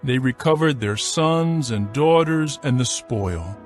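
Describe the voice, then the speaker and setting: A man narrating scripture from a script in a solemn, measured storytelling manner. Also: medium pitch, lively intonation, faint music behind.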